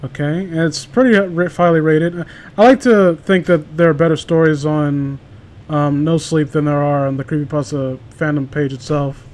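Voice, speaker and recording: A man's voice: fairly high pitch, fairly even intonation, normal speed, darkish tone. A man chatting casually among friends and reading stories aloud, stumbling over words as he reads. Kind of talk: casual group banter and amateur story reading.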